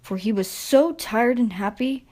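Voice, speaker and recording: A woman's voice: a little high, measured pace, mellow. Woman narrating a children's storybook.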